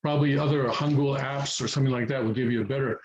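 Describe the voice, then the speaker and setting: A man's voice: medium pitch, steady tone, quick pace, muffled recording. A man giving an informal, improvised beginner language lesson, patient and step by step, often searching for words and repeating himself for emphasis. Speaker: teacher explaining Korean writing to beginners.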